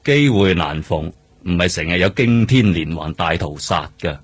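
Cynical veteran detective, rough husky voice, very animated intonation, Hong Kong accent, mocking, radio drama. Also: male voice, sits low, normal speed. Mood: mocking, teasing. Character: cynical, teasing veteran male police detective with morbid humour. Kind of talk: character radio drama acting.

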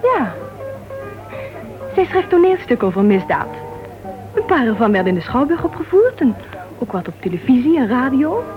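A woman's voice: high voice, big pitch swings, normal speed, long pauses. Tinny old radio play w music behind, chatty gossipy club lady, confiding, bit touchy. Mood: chatty, informative. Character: gossipy, sociable woman writers' club committee member. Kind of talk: radio play acting in Dutch.

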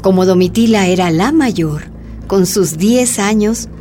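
A woman narrating a scripted radio drama in a measured, dramatic storytelling manner. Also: mid-range voice, warm tone. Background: faint music.

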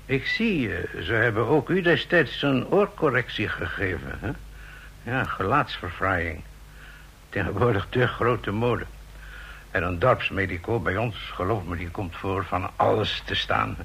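A man playing a chatty, self-assured village doctor in a Dutch radio drama, gravelly and husky, with brisk bedside patter. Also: on the low side, expressive, fairly bright tone, full character voice. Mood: chatty, jovial. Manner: brisk, jovial, matter-of-fact bedside patter.

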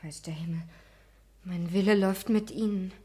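An actress playing a timid, yearning young housewife in a 1960s German radio play, anxious, hesitant and earnest. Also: female voice, mid-range voice, steady tone, moderate pace, warm tone, breathy edge, close to an everyday voice. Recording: 1960s radio recording with hiss, clicks.

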